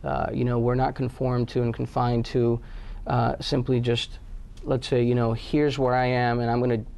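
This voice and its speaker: Gravelly-voiced man, halting speech. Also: medium pitch, level tone, a little mellow. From Pakistan. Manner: hesitant, many fillers and restarts. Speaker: guest, company head. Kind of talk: interview answers.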